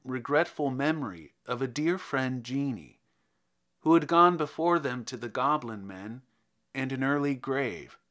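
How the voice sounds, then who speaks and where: Slow, expressive male literary narrator. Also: mid-range voice. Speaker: podcast host and reader. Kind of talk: scripted narration and dramatic poetry reading.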